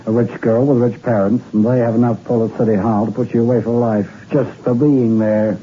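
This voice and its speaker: A man's voice: mid-range voice, fairly even intonation, fairly quick, close to an everyday voice. Hardboiled cop lieutenant played by a guy, middle-aged, probing questions, lo-fi old radio. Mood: interrogating. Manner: tough, probing. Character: gruff middle-aged male police lieutenant. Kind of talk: 1960s radio drama acting. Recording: dull old radio recording with faint hiss.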